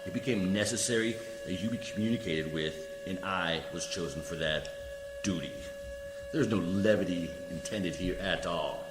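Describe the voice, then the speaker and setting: A man's voice: low-pitched, normal speed, close to an everyday voice. A man acting a coolly formal, condescending alien judicial official in a science fiction radio play, speaking with precise diction. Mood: formal, unruffled. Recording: echoey hall reverb, music behind.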